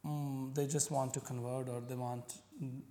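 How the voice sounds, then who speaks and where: Pakistani man with a mellow voice. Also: mid-range voice, level tone. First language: Punjabi. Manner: halting, searching for words, frequent fillers. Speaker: podcast guest. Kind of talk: conversational interview.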